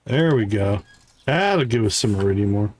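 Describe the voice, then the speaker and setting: A man's voice: fairly low pitch, expressive, normal speed, darkish tone. A man hosting a gaming livestream, thinking aloud in casual, chatty commentary as he plays.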